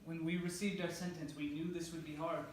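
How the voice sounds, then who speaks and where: A man's voice: on the high side, level tone, fairly quick, lightly acted. A man playing a devoted, anxious soulmate in a staged play reading, stammering and repeating words earnestly. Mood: earnest, protective. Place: indoor stage, recorded from a distance. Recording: echoey, noisy room from far off.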